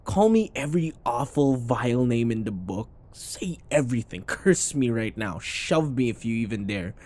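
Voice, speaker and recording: A man's voice: medium pitch, expressive, moderate pace, a little mellow, natural voice. A man playing a sarcastic, irritable office rival who taunts and scoffs, in a scripted boyfriend audio roleplay. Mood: smug teasing. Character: snarky, irritable male office rival, teasing and combative.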